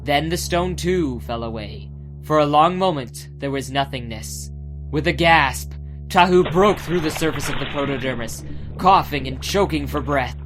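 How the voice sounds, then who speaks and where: A man's voice: fairly high pitch, lively intonation, moderate pace, fairly bright tone. Man narrating fantasy story over music. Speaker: audiobook narrator. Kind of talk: scripted dramatic story narration. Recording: music behind the voice.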